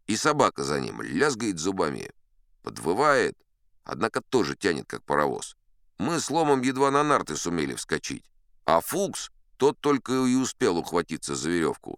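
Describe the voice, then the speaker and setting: An actor playing an old sea captain who spins colourful, self-assured tall tales in a wry, theatrical audiobook storytelling style, smooth and resonant. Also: male voice, low voice, fairly bright tone, no echo, clean studio sound, full character voice. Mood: amused, animated. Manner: wry, unhurried raconteur with dramatic emphasis. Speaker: narrator.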